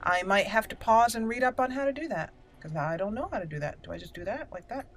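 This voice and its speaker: A woman's voice: medium pitch, expressive, quick pace, a little hiss or hum. A woman giving casual gameplay commentary, rambling and thinking aloud as she plays. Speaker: gameplay host.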